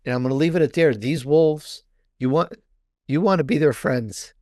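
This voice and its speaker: A man talking casually as a guest author in an interview, halting and restating phrases for emphasis. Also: a little high, darkish tone, dry recording, studio quality. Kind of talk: casual promotional interview talk.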